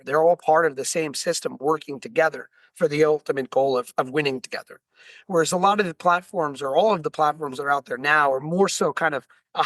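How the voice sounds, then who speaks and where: A man with a slightly hoarse voice, speaking earnestly and explaining things as a guest in a business webinar interview. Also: a little high, fairly bright tone, no room sound. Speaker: guest, franchise company founder.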